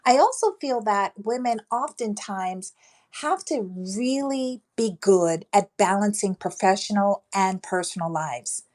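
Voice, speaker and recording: A woman with a light voice speaking as a guest on an online panel about leadership, in a measured, deliberate way. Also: mid-range voice, animated, darkish tone. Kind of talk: panel talk answering a host.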